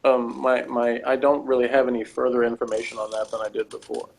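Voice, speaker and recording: A man's voice: medium pitch, flat delivery, a little fast, vocal fry. A man talking casually in a loose, rambling discussion, feeling his way through his points hesitantly. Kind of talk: casual discussion of TV and personality types.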